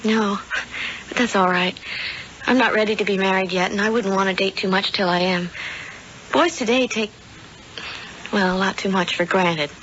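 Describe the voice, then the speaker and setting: A woman's voice: medium pitch, moderate pace, long pauses, fairly bright tone, natural voice. An actress playing a sweet, sheltered young small-town woman, shy and earnest, in a 1970s radio drama. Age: young adult. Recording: dull, noisy old radio drama recording.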